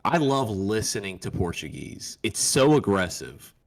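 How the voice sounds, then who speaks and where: A man with a slightly low voice and very lively, swinging intonation co-hosts a casual sports podcast. He teases and quizzes his partner as they talk through fight predictions. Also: unhurried. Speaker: co-host of an MMA podcast.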